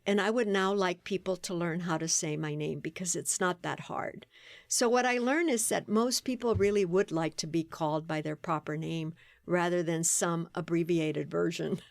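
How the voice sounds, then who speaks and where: A woman guest on a podcast interview, telling stories in an animated way and laughing often. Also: lowish voice, normal speed, creaky, no echo. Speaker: guest, playwright and performer.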